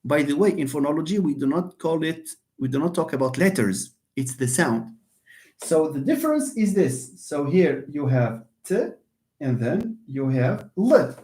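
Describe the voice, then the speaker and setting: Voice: mid-range voice, big pitch swings, moderate pace, warm tone. A university lecturer giving a live online lecture, emphatic, repeating phrases and speaking straight to students.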